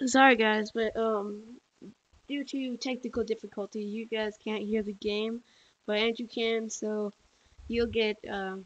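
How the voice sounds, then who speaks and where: A man's voice: high voice, level tone, unhurried, fairly bright tone, lo-fi sound. A man with a voice he calls girly, making casual offhand asides to viewers during a gameplay video. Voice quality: voice he says sounds girly.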